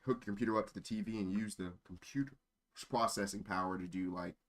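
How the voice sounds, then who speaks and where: Low-voiced man, rambling casually. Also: quick pace. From born in Germany. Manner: rambling, easily sidetracked. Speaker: live streamer. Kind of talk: casual stream chat.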